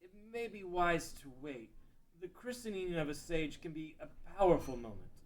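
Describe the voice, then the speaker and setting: A man reads as a grave, cautious male sage of a sacred realm. The delivery suits a dramatic fantasy story, with a fairly high pitch for a mentor. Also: sing-song, normal speed, low-quality recording. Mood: solemn. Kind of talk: dramatic table read of a fantasy game story.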